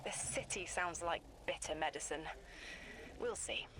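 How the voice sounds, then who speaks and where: An actress playing a cryptic female seer who speaks in slow, trance-like visions. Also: deep voice, noisy background. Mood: calm cryptic.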